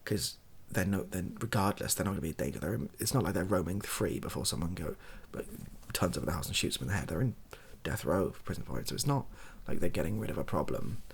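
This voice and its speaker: A young man speaking softly and breathily in a casual, rambling monologue, hesitant and self-deprecating as he gives his opinions. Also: deep voice, expressive, fast, hardly any pauses, slight background noise. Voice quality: soft, husky, breathy. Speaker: online video creator giving opinions.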